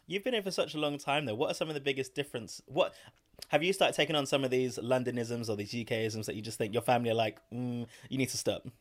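A man's voice: mid-range voice, quick pace. A man hosting a podcast, asking his guest questions in a casual chat. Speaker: podcast host.

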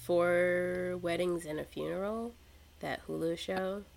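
A woman's voice: on the low side, fairly even intonation, slow, vocal fry, a little mellow. A woman in casual conversation who talks chattily, laughs often and acts out her own reactions. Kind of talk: casual chat.

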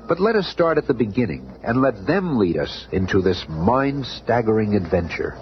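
A man's voice: on the low side, sing-song, normal speed. A man playing a grandiose, theatrical narrator of a superhero radio drama. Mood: dramatic. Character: dramatic, grandiose male storyteller of superhero tales. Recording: muffled old radio recording, faint noise.

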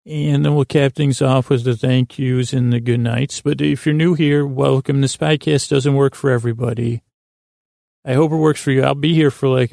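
A man hosting a sleep podcast with a creaky voice, giving a meandering bedtime monologue in a lulling, halting way, often correcting himself. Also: a little mellow, dry recording, studio quality. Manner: rambling, halting, self-correcting; soothing, lulling, low-key.

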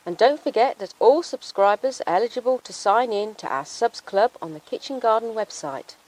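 A woman's voice: fairly high pitch, lively intonation, moderate pace, darkish tone, poor audio. A woman announcer delivering a scripted promotional voice-over. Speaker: advert announcer.

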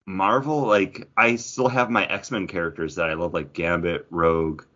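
A man's voice: low voice, steady tone, moderate pace, pushed and bright, highs cut off. A man co-hosting a podcast in casual geek-culture chat, rambling and interrupting himself with enthusiasm. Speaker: podcast co-host.

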